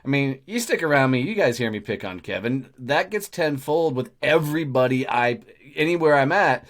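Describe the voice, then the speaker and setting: A middle-aged man talks business in a loose, conversational way. He is blunt and swears without a second thought, and his voice sits in an ordinary middle pitch. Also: lively intonation, moderate pace. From Texas, USA. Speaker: podcast host. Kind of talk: casual conversation.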